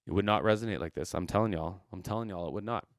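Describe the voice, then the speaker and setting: A man's voice: low voice, fast, no echo, clean studio sound. A man hosting a reaction video who talks as a singer about vocal technique, rambling enthusiastically and repeating himself with many fillers. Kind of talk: casual commentary on a singing performance.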